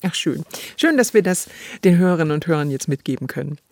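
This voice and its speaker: A woman's voice: sits low, expressive, fast, gravelly, background noise. A woman co-hosting a radio programme in casual, conversational discussion. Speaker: radio programme co-host introducing radio plays.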